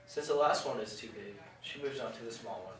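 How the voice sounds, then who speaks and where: A man's voice: mid-range voice, brisk. A man narrating a scripted storybook tale as part of a puppet show. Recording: very echoey room, distant, faint noise.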